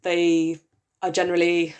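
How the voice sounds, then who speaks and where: A woman's voice: on the low side, completely flat delivery, unhurried, a little mellow, clean tone. A young woman from Wales with a Welsh accent, chatting on a podcast about her research with lots of ums and likes. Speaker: podcast co-host, PhD researcher. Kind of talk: casual chat.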